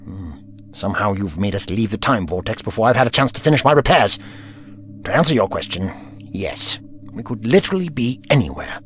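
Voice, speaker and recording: An actor playing an imperious, irritable time-travelling alien doctor in an audio drama, with a pompous, scolding, professorial manner. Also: male voice, on the low side, lively intonation, fairly quick, a bit bright.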